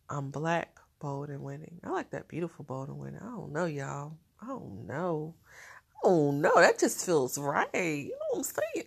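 A woman's voice: low-pitched, creaky, slightly rough. A woman with a nasal, stuffed-up voice talking in a casual diary vlog, chatty and laughing often. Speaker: vlogger.

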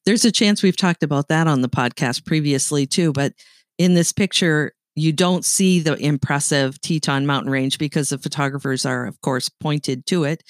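A woman's voice: sits low, moderate pace, no echo, studio quality. A middle-aged woman from Canada with a light Canadian accent, co-hosting a podcast and telling stories in a chatty, laughing manner. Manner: chatty storyteller, laughs often. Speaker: podcast co-host. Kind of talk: casual chat.

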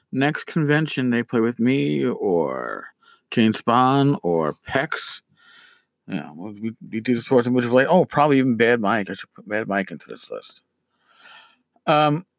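A middle-aged man talks casually as a podcast host, his voice scratchy and worn as he loses it. He rambles, pausing on fillers and restarting his sentences. The sound is dry and close, with no room around it. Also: mid-range voice, no echo. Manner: rambling, with many "uh" pauses and restarts. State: losing his voice, scratchy throat. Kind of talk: casual solo podcast talk.

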